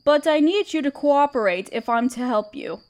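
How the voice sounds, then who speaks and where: A woman playing a regal, tender spider queen in an audio roleplay, speaking in a gentle, soothing and slightly formal way. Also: high-pitched, lively intonation, moderate pace. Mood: motherly, soothing. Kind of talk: scripted roleplay audio drama. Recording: crickets chirping behind the voice. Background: crickets and night insects.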